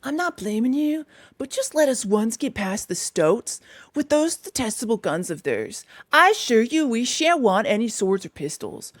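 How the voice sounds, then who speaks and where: A woman voicing a self-assured, peevish male badger from a storybook, grumbling in an unhurried, emphatic, colloquial way. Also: fairly high pitch, sing-song, no echo, studio quality. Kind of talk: storybook character voice.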